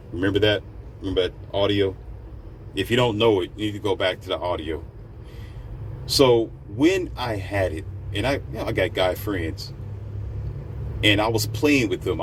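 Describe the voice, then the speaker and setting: Give me a low-pitched man telling a rambling, slangy story on his podcast. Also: a little hiss or hum. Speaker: podcast host. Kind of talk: casual monologue, storytelling.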